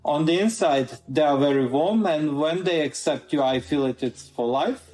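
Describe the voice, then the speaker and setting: A man's voice: on the high side, moderate pace, a little mellow, echoey room, faint music behind. A man from Croatia with a Croatian accent, a guest in a conversational interview, earnestly laying out his points in order.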